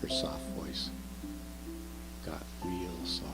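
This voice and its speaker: A man reading a children's story aloud as an expressive narrator. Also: extremely low pitch, drawn-out words, gravelly, rough recording. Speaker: story reader. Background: music under the reading.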